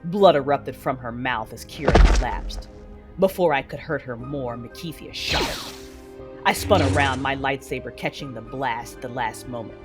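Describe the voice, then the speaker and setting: A woman's voice: very deep voice, moderate pace. An actress playing a vengeful, anguished Sith warrior, narrating her own tale in an intense, brooding, theatrical manner for an audio drama. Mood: cold fury. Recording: loud music behind the voice. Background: loud dramatic music.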